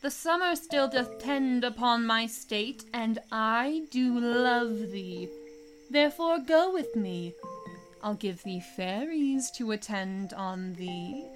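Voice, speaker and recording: A woman's voice: a little high, expressive, slow pace, faint music behind. A woman playing an imperious, lovestruck fairy queen, lyrical and regal, in a Shakespearean audio drama. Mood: commanding, doting.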